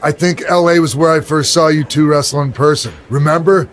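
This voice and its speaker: Man as an easygoing wrestler, fairly high voice. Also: steady tone, brisk, a little hiss or hum, close to an everyday voice. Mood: casual, nostalgic. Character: easygoing male wrestler, friendly former rival. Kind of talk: video game cutscene acting.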